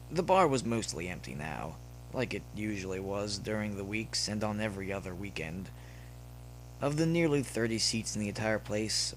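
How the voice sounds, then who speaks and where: A man reading a scripted story as narrator, in a measured, dramatic delivery that breaks into deliberate phrases. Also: fairly low pitch, rough recording. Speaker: audiobook-style narrator of fan fiction. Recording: noticeable background noise with hum.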